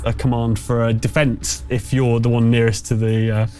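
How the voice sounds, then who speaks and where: A man running a tabletop game as game master, improvising narration in a conversational, halting way. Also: mid-range voice, flat delivery, a little mellow. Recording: music behind the voice. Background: music.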